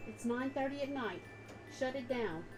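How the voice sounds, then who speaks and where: A woman's voice: sits high, expressive, moderate pace, music in the background. A woman talking casually in a scolding way.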